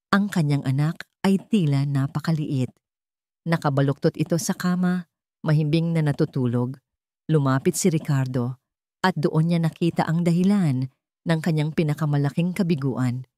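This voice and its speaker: Low-voiced woman reading a drama. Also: dark tone, no echo, clean studio sound. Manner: measured, solemn storytelling. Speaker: story narrator. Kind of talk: scripted dramatic narration.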